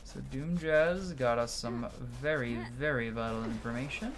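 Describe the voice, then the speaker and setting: A man's voice: medium pitch, normal speed, background noise. A man giving casual live commentary on a video game, rambling as he thinks aloud and reads out game text. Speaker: game streamer. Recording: game music behind the voice. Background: video game music.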